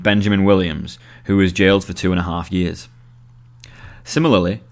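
A young British man reading his own written article aloud as scripted narration. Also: deep voice.

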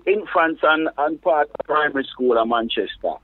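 A man from England with a Jamaican patois accent mixed with London speech, a reggae DJ chatting as an interview guest in a relaxed, halting way. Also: a little high. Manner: relaxed, halting, restarts phrases. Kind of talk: casual interview chat. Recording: phone-like narrow sound.

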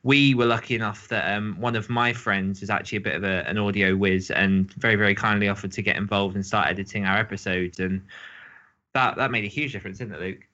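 Low, forceful man on a dull recording. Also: steady tone, fast. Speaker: podcast co-host. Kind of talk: casual discussion. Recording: dull, band-limited sound.

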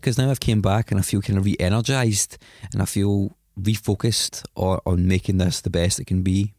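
A Scottish man speaks in a fairly low voice, a little stuffed up from a cold. He rambles in a chatty, self-mocking way through his podcast intro. The sound is dry and close. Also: a little mellow, dry recording. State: has a cold, sniffly. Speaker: podcast host. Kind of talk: solo podcast intro monologue.

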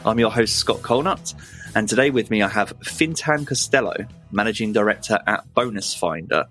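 A man's voice: on the low side, expressive, normal speed, a bit bright, faint music behind. A man hosting a marketing podcast, upbeat and friendly, with some filler words. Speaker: podcast host.

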